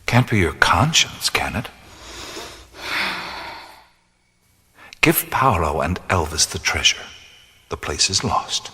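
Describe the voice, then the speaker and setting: An actor playing a weary, reflective adventurer in a radio play, speaking slowly and often in a hush. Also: male voice, deep voice, big pitch swings, husky, long reverb tail, heavily acted. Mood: brooding. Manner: slow, reflective, often hushed. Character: wry, weary adventurer-mystic man. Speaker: lead character.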